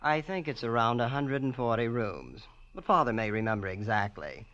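A man's voice: mid-range voice, animated, normal speed, fairly bright tone, natural voice. A man acting a young, rich heir in a radio drama, boastful in a breezy, nonchalant way. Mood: breezy, smug. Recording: dull vintage radio recording.

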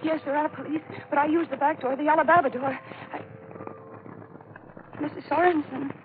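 A high-voiced young American woman , played by an actress, in an old radio thriller, frightened and close to hysterics. She speaks in halting, breathless phrases with melodramatic pleading. The broadcast is tinny and narrow, with heavy scratchy noise. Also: steady tone, close to an everyday voice. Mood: panicked, pleading. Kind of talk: 1940s American radio mystery acting.

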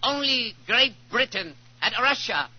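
A domineering Chinese emissary in an old radio play. The voice is piercingly high and barks out short orders. The sound is dull, as on a 1940s recording. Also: male voice, animated, unhurried, exaggerated voice. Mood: imperious. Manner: shrill, barking commands. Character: imperious, curt Chinese overlord's emissary.

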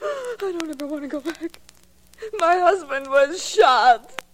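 An actress playing a distraught, frightened widow in 1940s radio melodrama style, halting and crying. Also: female voice, high-pitched, sing-song, exaggerated voice. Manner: halting, tearful. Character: distraught, frightened young widow of murdered husband.

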